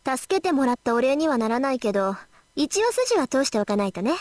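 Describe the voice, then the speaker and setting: A woman voicing a confident, sharp-witted teenage schoolgirl magus in a Japanese visual novel. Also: sits high, sing-song, rapid-fire, dry recording. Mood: brisk, self-assured.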